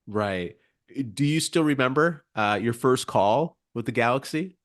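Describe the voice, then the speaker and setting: A man's voice: mid-range voice, lively intonation, measured pace. A man hosting a podcast, asking questions in a casual, curious, informal way. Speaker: podcast host, interviewer. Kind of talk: casual conversational interviewing.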